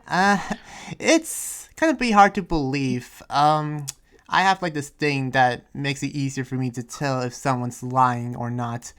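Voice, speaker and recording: A man playing a friendly, earnest townsman in an improvised anime game roleplay, explaining himself with hesitant, rambling pauses, with music behind him. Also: medium pitch, fairly bright tone, smooth, lightly acted. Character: friendly, earnest demon-sheltering townsman who senses lies.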